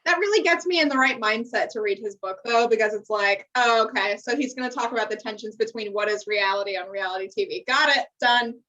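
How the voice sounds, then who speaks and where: A woman's voice: a little high, brisk, hardly any pauses, sharp tone. An American woman co-hosting a podcast in casual chat, with a dry, teasing, sarcastic way of talking. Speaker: podcast co-host. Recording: slightly distant microphone sound.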